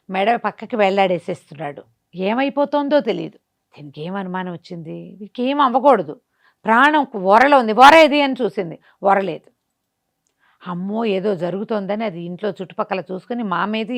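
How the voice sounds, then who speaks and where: A woman telling a folk tale in an animated, conversational way, putting rhetorical questions to herself as she goes. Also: medium pitch, gravelly. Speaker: storyteller. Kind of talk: animated oral folk storytelling.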